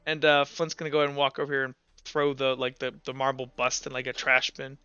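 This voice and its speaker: A man narrating a tabletop roleplaying game as game master, explaining things in a casual, halting, rambling way. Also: mid-range voice, monotone, bright, forceful tone, clean tone, no room sound, muffled recording.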